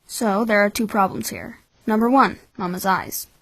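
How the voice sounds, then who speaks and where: A woman's voice: medium pitch, a bit bright, no room sound. Cynical, calculating boy, voiced by a woman, dry sound. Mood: cool, calculating. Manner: measured, blunt, explanatory. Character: cynical, calculating twelve-year-old orphan boy, coolly bitter. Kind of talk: anime-style fan dub acting.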